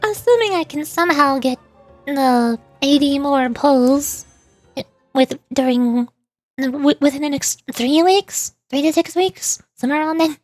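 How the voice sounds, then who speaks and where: A woman streamer chatting casually about a video game in a rambling, playfully exasperated way, often halting and repeating words. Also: sits high, no echo. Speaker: streamer, VTuber. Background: background music.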